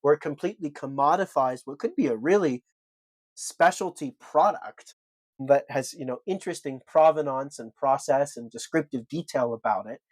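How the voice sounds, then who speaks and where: A man's voice: fairly high pitch, no room sound, rough recording. A man co-hosting a podcast, laying out his argument point by point with emphasis. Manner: emphatic, builds arguments in lists. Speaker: podcast co-host.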